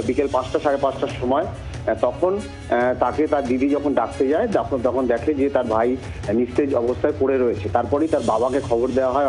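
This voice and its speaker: A man with a West Bengal Bengali accent giving an unscripted field report by telephone, halting, repetitive and emphatic. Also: mid-range voice, dark tone. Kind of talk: unscripted live news report. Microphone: telephone link to the studio.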